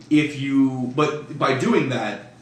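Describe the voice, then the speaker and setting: A man's voice: medium pitch, extremely slow. A man narrating as game master of a tabletop horror game, casual, improvised and freely swearing. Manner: profane, colloquial. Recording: roomy, distant mic, faint noise.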